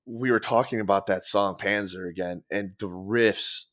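A man's voice: fairly low pitch, fairly even intonation, normal speed, fairly bright tone. A man talking casually on a podcast, rambling with many fillers, restarts and casual swearing. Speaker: podcast guest, former band guitarist. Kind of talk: casual conversation.

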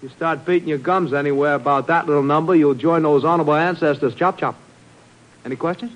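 A man's voice: on the high side, fast, highs cut off, close to an everyday voice. An actor playing a cocky, menacingly playful gunman who talks in sardonic slang, in 1950s radio drama style. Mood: mocking threat. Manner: slangy, sardonic tough talk. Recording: slightly hissy 1950s radio recording.

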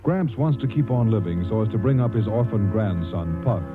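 A man's voice: lowish voice, expressive, normal speed, over music. A man reading scripted narration for a 1940s radio drama. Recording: tinny old radio broadcast.

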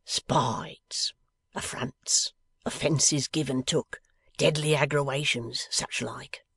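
A man's voice: medium pitch, steady tone, takes it slowly, a bit bright, dry recording, exaggerated voice. A man voicing a coarse, sly lock-keeper from a novel, growling with an insolent manner. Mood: cool, sullen. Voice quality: growling, gruff. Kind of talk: dramatised novel reading.

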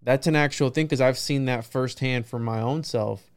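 Clear-voiced man musing casually. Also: medium pitch, steady tone, moderate pace. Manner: rambling, musing, peppered with right and you know. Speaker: podcast host. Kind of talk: casual podcast conversation on esoteric ideas.

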